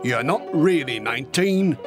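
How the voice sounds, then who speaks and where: Old army recruiter, played by a man, avuncular and crafty, unhurried knowing delivery for a children's audiobook. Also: a little high, expressive, loud music behind the voice, lightly acted. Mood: knowing, kindly. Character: old male recruiting sergeant, avuncular and slyly obliging. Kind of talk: acted children's audiobook dialogue.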